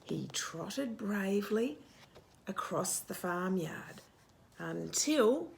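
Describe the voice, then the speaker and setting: A woman reading a picture book aloud to young children as a storytime host, expressive, with hushed asides and questions to the listener. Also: mid-range voice, gravelly, slight background noise. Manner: animated, expressive, asks questions and pauses for answers.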